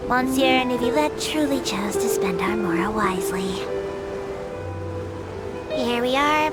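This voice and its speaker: A woman voicing a small, earnest female creature tour guide in a video game, making polite, formal, measured announcements. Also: on the high side, close to an everyday voice. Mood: polite, helpful. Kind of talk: video game character voice acting. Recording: loud music over the voice.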